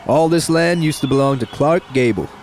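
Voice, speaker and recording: A man's voice: a little high, normal speed, a little mellow, lightly acted. An actor playing a terse, cautious man on watch in a post-apocalyptic radio drama. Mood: grim. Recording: clear outdoor city noise.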